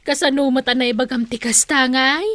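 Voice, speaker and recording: An actress playing a level-headed friend who questions and chides a gossip in an Ilocano radio drama. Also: female voice, high-pitched, fairly even intonation, normal speed, fairly bright tone, dry recording. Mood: chiding. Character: level-headed female friend advising a gossip to hold back.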